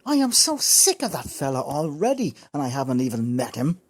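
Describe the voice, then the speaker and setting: A woman's voice: very deep voice, very animated, fairly quick, full character voice. An actress playing a grumpy, resentful grown man, a sullen Dublin son, in a comedy radio drama. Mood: fed up. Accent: Dublin Irish. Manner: sullen, sardonic.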